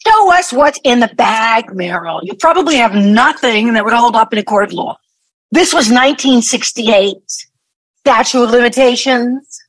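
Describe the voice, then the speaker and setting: A woman plays a hostile elder daughter in a radio play. She is blunt and scornfully sarcastic, with a high voice that swings widely, recorded close and dry. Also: expressive, moderate pace, dry recording, low-quality recording. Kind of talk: audio play acting.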